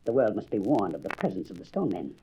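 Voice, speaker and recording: Man as an earnest, mellow doctor hero. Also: fairly low pitch, sing-song, fast, low-quality recording. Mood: wondering, urgent. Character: earnest mild-mannered doctor, secretly a hero. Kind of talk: dramatic comic book monologue. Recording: old record with faint noise.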